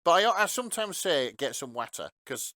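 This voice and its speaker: A man's voice: fairly high pitch, sing-song, normal speed, sharp tone, no echo, clean studio sound. A man chatting casually as a podcast co-host. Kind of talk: casual chat.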